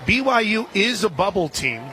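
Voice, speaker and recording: A man doing live radio play-by-play of a softball game, slipping conversational asides in between pitch calls. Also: very animated, normal speed. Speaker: play-by-play announcer. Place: outdoor softball stadium broadcast booth. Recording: loud crowd and outdoor stadium noise. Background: crowd noise.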